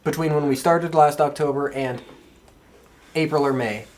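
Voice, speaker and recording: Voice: medium pitch, fairly even intonation, moderate pace, darkish tone. Enthusiastic, informal podcast co-host. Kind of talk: casual chat about pro wrestling.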